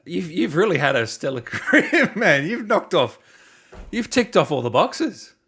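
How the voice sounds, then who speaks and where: A man's voice: on the high side, very animated, a little slow, fairly bright tone, husky. Enthusiastic man interviewing. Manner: enthusiastic, informal, slangy. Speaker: interviewer, host. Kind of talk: casual interview chat. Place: remote video call.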